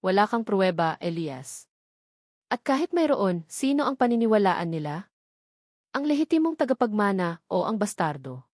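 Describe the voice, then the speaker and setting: A woman's voice: mid-range voice, very animated, moderate pace, a little mellow, no echo, studio quality. Woman voicing a smug, menacing rich heir. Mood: cold, serious. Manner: taunting, threatening. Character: menacing, smug young man, rich heir and rival brother. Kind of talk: audiobook character dialogue.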